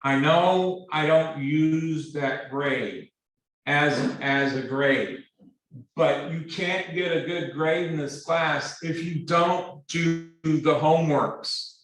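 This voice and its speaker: A man lecturing a math class, speaking in a halting, earnest way with repeated words and many fillers. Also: on the high side, a bit bright. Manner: earnest, pleading. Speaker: math instructor. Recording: very echoey classroom, distant mic.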